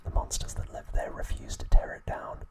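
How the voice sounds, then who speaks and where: A man narrating an ASMR trivia recap in a breathy, hushed whisper, speaking in short, measured phrases. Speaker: ASMR host.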